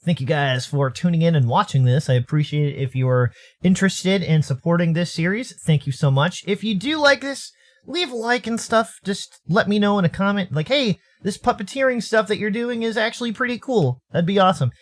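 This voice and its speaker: A man's voice: fairly high pitch, expressive, brisk. A man from Arizona with an American accent, a streamer casually addressing his viewers. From Arizona, United States. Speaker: streamer, video host.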